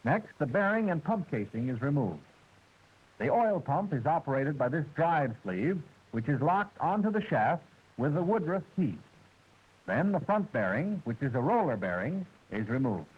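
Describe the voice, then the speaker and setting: 1940s training film narrator, husky and lively. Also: male voice, on the high side, narrow, tinny audio. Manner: precise, measured, formal delivery. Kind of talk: scripted instructional narration.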